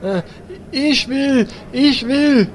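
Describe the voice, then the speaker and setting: A man's voice: very high voice, very slow, heavily acted. A man playing a naive, eager, awkward fantasy hero who speaks hesitantly in a comedy audio drama.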